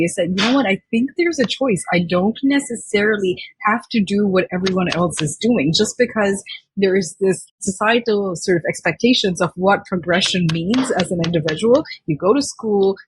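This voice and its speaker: Husky young woman from Dubai. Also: mid-range voice, low-quality recording. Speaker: guest, company founder. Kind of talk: podcast interview.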